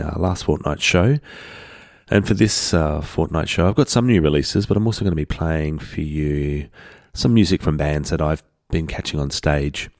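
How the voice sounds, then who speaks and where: A man hosting a community radio music show, relaxed and rambling with lots of fillers, sounding congested from a head cold. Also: very deep voice, no echo, studio quality. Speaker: radio host. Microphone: studio broadcast mic.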